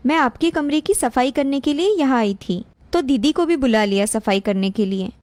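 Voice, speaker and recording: A woman's voice: sits high, lively intonation, moderate pace, a little mellow, dry recording. A woman voicing a deferential, worried younger daughter-in-law in a cartoon story.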